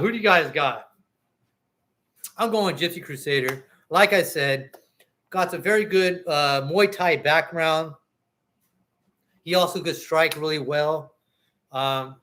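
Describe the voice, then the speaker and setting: A man's voice: on the high side, a bit bright. A man hosting a livestream, chatting casually about boxing and talking straight to his viewers. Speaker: livestream host.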